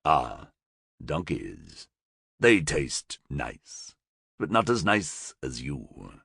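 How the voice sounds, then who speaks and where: A man's voice: a bass voice, sing-song, creaky, a bit bright, husky, no room sound, clean studio sound, voice pushed down, heavily acted. A man growling out a gurgling fanged monster prisoner. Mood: taunting. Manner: taunting, sardonic, drawn-out.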